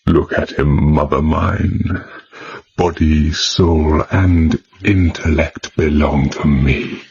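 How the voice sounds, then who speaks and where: An actor playing a sinister, confident male villain in a radio drama, speaking with slow, possessive deliberation. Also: a bass voice, steady tone, gravelly, darkish tone, muffled recording, slight background noise, full character voice. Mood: quietly gloating. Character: sinister, domineering, silkily confident male villain, devoted to his mother.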